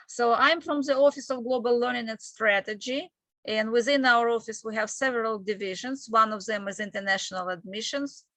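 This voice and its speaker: A woman from Ukraine with a Ukrainian accent, presenting a webinar in a halting, explanatory way as an admissions manager. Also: on the high side, fairly bright tone, dry recording. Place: online video call with screen sharing.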